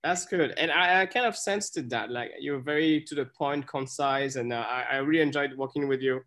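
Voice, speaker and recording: A man's voice: mid-range voice, normal speed, a bit bright. A man hosting an interview, chatty and encouraging, with many fillers and restarts. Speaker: interview host. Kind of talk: casual interview.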